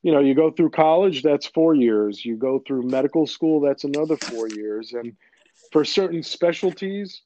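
A man from Queens, New York, whose first language is Greek, explaining his path to becoming an orthopedic surgeon in a measured way with frequent fillers. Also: a little high, lively intonation, warm tone, a little hiss or hum. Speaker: orthopedic surgeon, podcast guest. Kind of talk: conversational interview answers. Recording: dull, dry, lo-fi sound.

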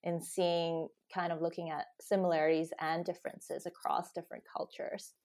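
A woman's voice: fairly low pitch, little pitch movement, moderate pace, darkish tone. A woman from Hong Kong, a guest researcher, answering thoughtfully with frequent fillers. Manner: thoughtful, many fillers like "you know" and "um". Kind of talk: podcast interview.

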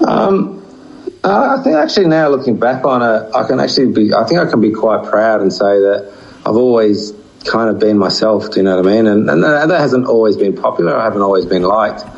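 A man in his forties whose accent blends Australian and English, talking frankly and reflectively about his playing days. His voice sits low, and the recording sounds dull and lo-fi. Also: lively intonation, brisk, vocal fry. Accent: mix of Australian and English. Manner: frank, reflective, with a wry laugh. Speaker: former cricketer, guest. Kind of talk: candid interview answers. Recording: dull lo-fi audio.

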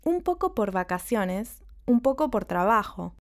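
A woman's voice: fairly high pitch, big pitch swings, a little slow. A woman voicing a polite, chatty young woman journalist on her way abroad in a story.

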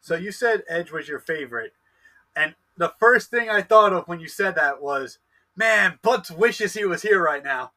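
Male co-host, early 20s; bright, slightly high voice; eager, stumbling. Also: lively intonation, moderate pace. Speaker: podcast co-host, wrestler. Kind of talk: casual podcast interview.

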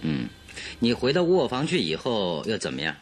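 Radio drama detective: low voice, lively intonation, shrewd, probing, authoritative. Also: male voice, normal speed, faint music behind. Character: shrewd, composed male detective questioning a witness.

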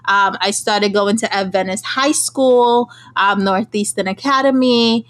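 A woman's voice: mid-range voice, unhurried, a bit bright. A woman from Trinidad and Tobago hosting her podcast, introducing a guest and chatting with him casually. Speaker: podcast host. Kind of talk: casual interview.

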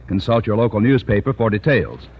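A man announcing a 1950s radio program in a formal, measured style, on an old broadcast recording. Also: fairly low pitch, expressive, husky, muffled recording. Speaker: show announcer.

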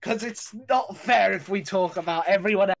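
A man's voice: high voice, moderate pace, vocal fry. A young man co-hosting a casual chat podcast, jokey and giggly as he swaps anecdotes. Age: young adult. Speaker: podcast co-host.

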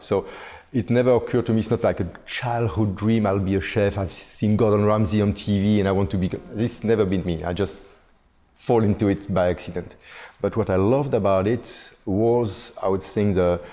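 A man's voice: sits low, mellow. A man in his fifties, originally from Belgium, a chef being interviewed, speaking hesitantly and often repeating words as he searches for phrasing. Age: 50s. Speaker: chef, guest. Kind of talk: conversational interview.

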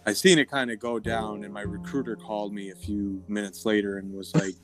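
A man around forty with an American accent talks in a fairly low voice. He tells personal stories in casual, slangy language as an interview guest. Soft music sits underneath. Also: flat delivery, a little fast. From upstate New York. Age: about 40. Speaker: interview guest, army veteran.

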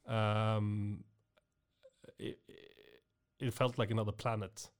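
A man from Norway, hosting a podcast interview in a halting way, with many restarts. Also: lowish voice, level tone, clear, resonant tone. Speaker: podcast host. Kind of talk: conversational interview.

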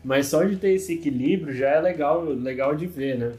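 A man from Brazil co-hosting a casual football podcast, relaxed, chiming in and adding comments. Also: fairly high pitch, moderate pace, soft background music. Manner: relaxed, agreeing, adds short comments. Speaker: podcast co-host.

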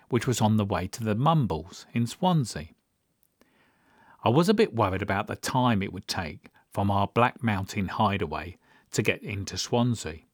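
A man's voice: fairly low pitch, dry recording, studio quality. A mature man hosting a podcast, narrating memories from his own life in a personal, storytelling way. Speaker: podcast host.

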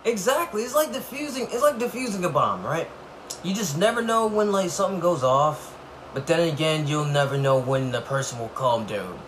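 A man's voice: on the high side, animated, a bit bright. A young man in casual chat, playful and teasing. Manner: playful, teasing, drawn-out agreement. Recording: clear background noise.

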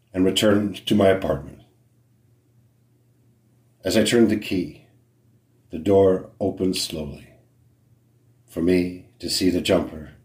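A man reciting a poem solemnly as a poet, in measured phrases with dramatic pauses. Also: deep voice, a little mellow, reverberant.

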